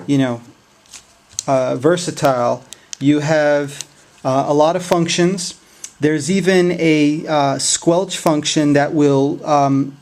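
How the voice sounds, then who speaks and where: A man giving a casual, unscripted product review in a hesitant, halting way. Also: fairly high pitch, a little mellow.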